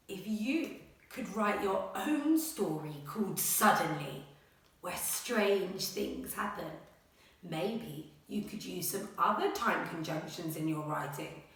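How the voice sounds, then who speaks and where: A woman primary school teacher speaking to children and reading a picture book aloud in a dramatic, expressive way. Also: medium pitch. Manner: dramatic, expressive, with gasps and suspenseful pauses. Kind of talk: animated children's story reading and lesson. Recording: very echoey room, slightly distant mic.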